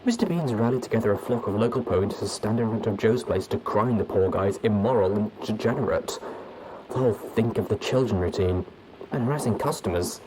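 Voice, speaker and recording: A man's voice: fairly low pitch, expressive, brisk, background noise, natural voice. A man voicing a weary, grumbling, folksy small-town lawman pony recording an audio log in a story. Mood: weary, exasperated. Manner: folksy, exasperated.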